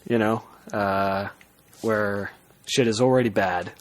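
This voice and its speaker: A man's voice: fairly low pitch, steady tone, takes it slowly. A man co-hosting a podcast in casual comic banter, with a matter-of-fact, slightly defensive way of talking. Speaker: podcast co-host.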